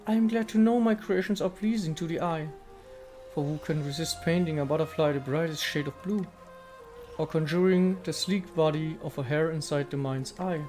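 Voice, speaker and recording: Man voicing an ancient, poised goddess spirit, pitched higher. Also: moderate pace, a little mellow, faint music behind, natural voice. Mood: gracious, wistful. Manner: poised, formal, poetic. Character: ancient female statue goddess spirit, serene, aloof, contemplative. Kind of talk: dramatic reading of video game dialogue.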